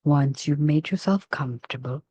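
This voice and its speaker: A woman leading a guided meditation in a soft, soothing, measured way with long pauses between phrases. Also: sits low, breathy edge, dry recording, highs cut off.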